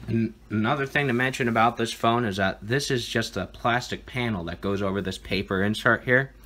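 Bright-voiced man explaining home tech. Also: medium pitch, a little fast. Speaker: video host demonstrating equipment. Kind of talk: informal tech demonstration. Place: indoors at home.

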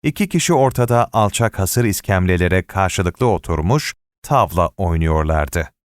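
Guy narrating a short story, lowish voice, really lively intonation, dry close recording. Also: a little slow, dry recording. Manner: expressive storytelling. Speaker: audiobook narrator. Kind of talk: scripted short story narration with acted dialogue.

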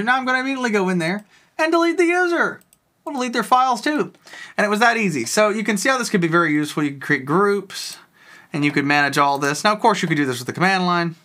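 Tech video host, casual walkthrough, bright tone, thinks aloud, home setup, desk mic. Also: medium pitch. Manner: rambling, thinks aloud while clicking. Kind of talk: casual screen-recorded software walkthrough.

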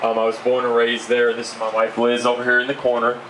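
A man's voice: medium pitch, fast talker. Earnest young man reading a speech outdoors. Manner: earnest, steady, fillers between points. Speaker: keynote speaker at a benefit. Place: outdoor backyard party. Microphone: handheld mic, recorded by far camera. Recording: distant roomy outdoor sound, faint hum.

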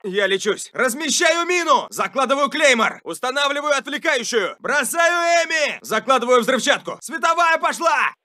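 An actor playing a Russian special forces soldier giving short, urgent combat warnings for a military game. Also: male voice, very high-pitched, sing-song, moderate pace, bright, forceful tone, exaggerated voice. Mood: urgent, alert.

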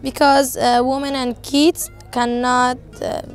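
A fifteen-year-old girl from Afghanistan with an Afghan accent, answering interview questions in halting, simple non-native English. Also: high-pitched, little pitch movement, faint music behind. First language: not English. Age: 15, teenager. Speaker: interviewee, volunteer translator. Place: outdoors on a roadside.

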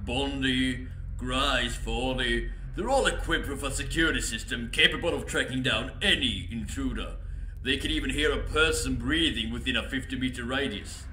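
Bright, forceful man acting a corporate spokesman. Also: medium pitch, normal speed, lightly acted. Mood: matter-of-fact. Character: evasive corporate spokesman defending animatronic security. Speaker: company spokesman. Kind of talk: scripted game cutscene dialogue. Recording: roomy, faint background noise.

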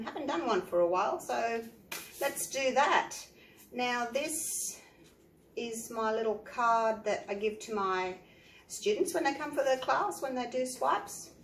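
An Australian woman, an art teacher, chatting step by step through a painting tutorial with a croaky voice from the flu. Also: a little high. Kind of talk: tutorial narration. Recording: echoey room, faint noise.

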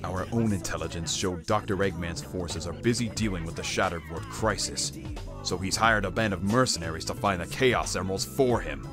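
A man's voice: sits low, moderate pace, over loud music, close to an everyday voice. A man voicing a serious, composed chameleon ninja in a cartoon fan dub, formal and matter-of-fact. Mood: businesslike.

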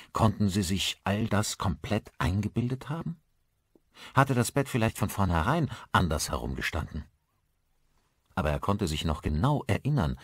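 Male narrator, scripted thriller reading, expressive phrasing, animated intonation, husky tone. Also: low-pitched, dry recording, studio quality. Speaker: audiobook narrator.

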